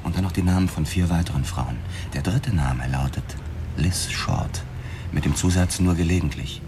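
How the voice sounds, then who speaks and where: Man playing a low-voiced, insistent police detective. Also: normal speed, close to an everyday voice. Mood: matter-of-fact. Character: driven male police detective, curt and insistent. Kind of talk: German radio drama acting. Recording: clear background noise.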